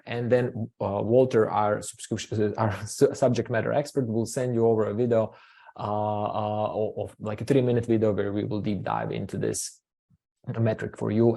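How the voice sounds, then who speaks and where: A man from Croatia hosting a business webinar from the marketing side, speaking haltingly with many fillers and restarts. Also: fairly low pitch, warm tone.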